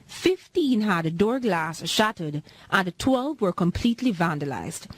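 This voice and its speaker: A Jamaican woman reading a scripted news report as a reporter. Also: on the low side.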